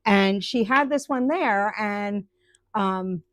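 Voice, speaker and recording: A woman's voice: mid-range voice, takes it slowly, dry recording, clean studio sound. A woman chatting live with viewers about her woodturning, rambling with lots of ums and restarts. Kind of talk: casual live-stream chat.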